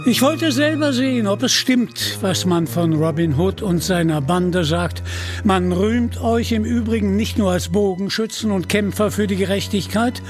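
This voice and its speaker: A man's voice: high voice, normal speed, faint music behind, natural voice. A man voicing a commanding, teasing warrior king with a stately manner in a storybook reading. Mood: probing, friendly. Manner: stately, authoritative. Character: commanding, teasing king disguised as armoured leader.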